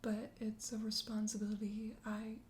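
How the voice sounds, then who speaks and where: A woman voicing a teasing, flirtatious, self-assured vampire host in a soft, breathy ASMR whisper. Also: mid-range voice, level tone, close to an everyday voice. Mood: gentle, charming. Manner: teasing, slow, coaxing. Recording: roomy echo, faint background noise.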